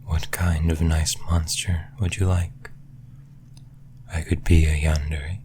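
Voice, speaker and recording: A man voicing a lonely, clingy sleep paralysis demon in an intimate audio roleplay, hushed and hesitant, often slipping into a breathy whisper. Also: rumbling low, level tone, slow pace, a little hiss or hum. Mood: tender, clingy.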